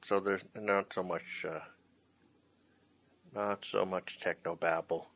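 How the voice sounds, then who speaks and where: A man hosting a casual, unscripted chat as a drama instructor, speaking in a hesitant, halting way. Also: sits low, level tone, vocal fry, a bit bright. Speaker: host, drama school instructor, writer-director.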